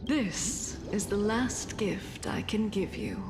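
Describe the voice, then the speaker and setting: A woman voicing a gentle, sorrowful sea siren leader in a video game, speaking tenderly and solemnly. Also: mid-range voice, expressive, slow, over music. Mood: solemn tenderness. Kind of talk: dramatic video game voice acting.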